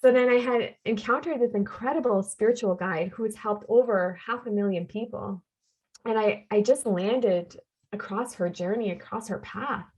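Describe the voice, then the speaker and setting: A woman speaks as a podcast guest, recounting her life in a heartfelt, earnest way. She leans on repeated phrases to drive her points home, in a voice of middling pitch. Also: normal speed, darkish tone, rough recording. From Canada. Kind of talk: personal storytelling in a conversation.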